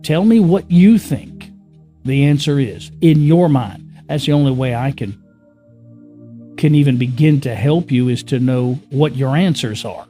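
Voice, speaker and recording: A man's voice: mid-range voice, a little slow, dark tone, faint music behind. A man giving a casual spiritual teaching, often repeating himself, chuckling and asking the listener rhetorical questions. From born in the US Northeast, raised in California. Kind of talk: unscripted spiritual teaching to camera.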